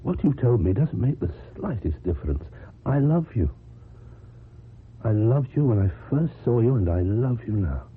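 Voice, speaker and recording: A man's voice: mid-range voice, sing-song, moderate pace. A man acting in a radio drama as an earnest, devoted lover who looks back on his past, tender and hesitant. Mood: earnest, loving. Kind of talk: radio drama acting and first-person narration. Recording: muffled narrow-band old radio recording, clear noise.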